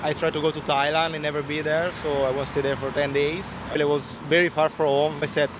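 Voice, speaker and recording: A man from Italy with an Italian accent, speaking halting English and searching for words. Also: a little high, flat delivery, noisy background.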